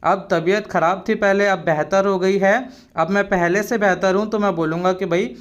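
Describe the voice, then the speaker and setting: A young man teaching spoken English phrases, explaining in Hindi and repeating each English line very slowly. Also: high voice, fairly even intonation, highs cut off.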